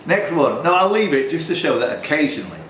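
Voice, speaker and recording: Lively high-voiced male quiz host, roomy sound. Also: fast. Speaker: quiz host, question reader. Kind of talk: live pub quiz hosting, reading questions between banter. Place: indoors in a tavern. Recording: roomy pub, distant mic, faint noise.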